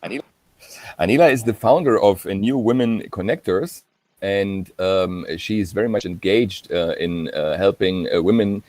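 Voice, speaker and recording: A man's voice: low voice, normal speed, a little mellow, no echo. A man hosting an informal video-call panel, talking hesitantly with many ums and uhs. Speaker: panel host. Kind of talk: informal online panel talk.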